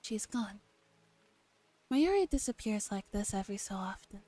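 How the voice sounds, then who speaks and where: A woman streamer gently reading a visual novel aloud in a soft ASMR style, with relaxed casual asides. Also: mid-range voice, normal speed. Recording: music behind the voice.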